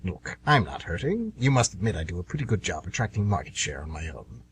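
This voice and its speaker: A man's voice: sits low, big pitch swings, fast talker. A man playing the Devil as an anxious, aggrieved, scheming businessman in a comedic amateur radio play, sardonic and always bargaining. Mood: persuading, businesslike. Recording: noisy amateur radio recording with hum.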